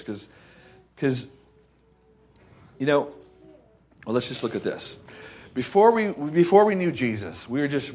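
A male preacher speaks at a middling pitch in a loose, conversational way. He is folksy, often repeats a phrase as he searches for the next one, and tags his points with small questions to the listeners. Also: a little mellow. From Costa Rica. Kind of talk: informal conversational sermon.

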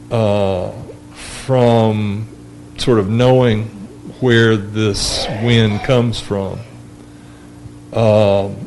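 A man with a big voice in a church discussion group, reading a scripture passage aloud and then reflecting on it slowly and deliberately. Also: mid-range voice, a little mellow, slight background noise, poor audio. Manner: slow and deliberate.

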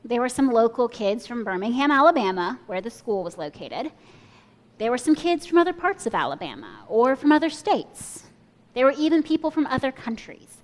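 Reflective woman preaching a sermon. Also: on the high side. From Kenya and the US. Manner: reflective storytelling, invites listeners to imagine.

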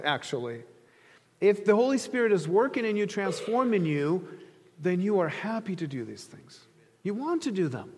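A male pastor from Ukraine with a rather high voice delivers a sermon in a conversational way, explaining through stories and illustrations. His words carry the long reverb of a hall. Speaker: pastor preaching to a congregation. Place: large reverberant room, preaching to an audience.

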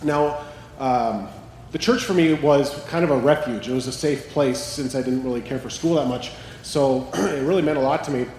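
A man with a Canadian accent, a ministry coach, telling his story during a sermon in a relaxed way. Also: medium pitch, normal speed, a little hiss or hum. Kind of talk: co-teaching a sermon. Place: church sanctuary. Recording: echoey hall, faint ambience.

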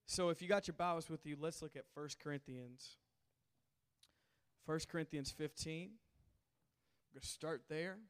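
A hoarse man preaching to a camp crowd in a large hall, calling for responses from the audience. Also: fairly high pitch, measured pace, pauses often. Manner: call-and-response, coaxing the crowd. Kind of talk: sermon to a youth camp crowd. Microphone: handheld stage mic.

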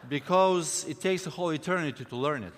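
A man from Bulgaria with a Bulgarian accent, a missionary giving a friendly, halting church talk with many fillers. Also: a little high, slight background noise. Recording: long hall reverb.

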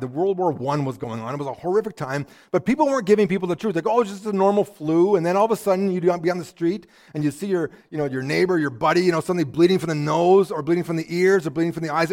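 A man preaching a sermon, telling stories with lots of energy, often repeating words as he starts a sentence, and dropping to a hush to drive a point home. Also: fairly high pitch, fast, few pauses. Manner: animated storytelling, swings from loud to hushed. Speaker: pastor.

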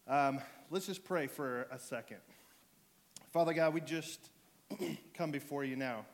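A man with a sore throat and a fading voice, preaching to his church in a relaxed way, full of ums and restarts. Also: on the high side, a little slow. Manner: joking, relaxed, with fillers and restarts. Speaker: pastor. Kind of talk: sermon.

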